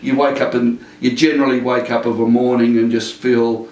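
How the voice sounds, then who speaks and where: An older man, a retired police drill instructor, being interviewed in a casual, rambling way with many fillers and restarts and a naturally loud voice. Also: medium pitch, little pitch movement, moderate pace. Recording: echoey room, mic at a distance.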